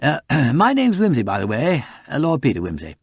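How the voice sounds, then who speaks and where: A man voicing a breezy, polite aristocratic gentleman in a story, rambling on in an upper-class English accent. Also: medium pitch, wildly swooping pitch, moderate pace, breathy edge, no echo, clean studio sound, pitched above the performer's own voice.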